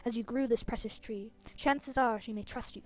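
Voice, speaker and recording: A woman playing a concerned leader of fantasy riders in a game, measured and formal with an ominous manner. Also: on the high side, fairly even intonation, darkish tone, natural voice. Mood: grave, concerned.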